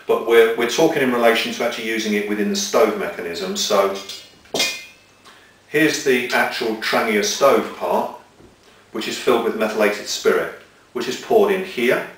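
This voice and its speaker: A man's voice: on the low side, normal speed, a bit bright, recorded from a distance. A middle-aged man with a methodical, step-by-step manner, presenting and demonstrating outdoor cooking gear at a table. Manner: methodical, explains step by step while handling gear. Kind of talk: hands-on equipment demonstration. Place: small indoor room with table of gear. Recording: echoey room, mic set back.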